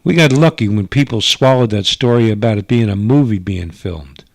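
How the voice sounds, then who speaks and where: A man's voice: fairly low pitch, normal speed, no room sound. A man narrating a first-person radio drama in old-time style, as a government investigator giving his report.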